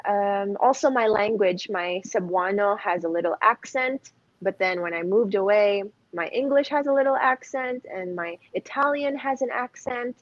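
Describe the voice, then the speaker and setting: A woman's voice: mid-range voice, normal speed. Young woman, candid and laughing, muffled. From Philippines (Cebu). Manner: candid, laughs often, light fillers. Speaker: pageant candidate, guest. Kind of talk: casual interview answers. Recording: dull muffled dry audio.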